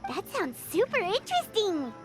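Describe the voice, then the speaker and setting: Game fairy companion, small and excitable: extremely high, childlike, very animated. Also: female voice, moderate pace. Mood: curious, amazed. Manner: speaks of herself in third person, exclaiming. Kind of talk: video game voice acting. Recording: loud game music behind.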